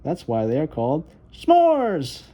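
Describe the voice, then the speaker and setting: A man's voice: a little high, huge pitch swings, slow pace, warm tone, pitched above the performer's own voice, full character voice. A man voicing an earnest, determined little girl in a children's storybook read-aloud. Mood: eager, explaining.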